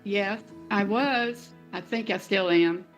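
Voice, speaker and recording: Female interviewee, middle-aged, mid-range voice, conversational, soft music bed behind. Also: medium pitch, slow pace. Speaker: interview guest telling her own story.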